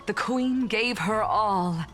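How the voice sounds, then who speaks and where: An actress playing an anxious, reverent sea siren in a fantasy game, earnest and storylike in delivery. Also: female voice, medium pitch, takes it slowly, fairly bright tone, faint music behind. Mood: solemn. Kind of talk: dramatic fantasy game acting.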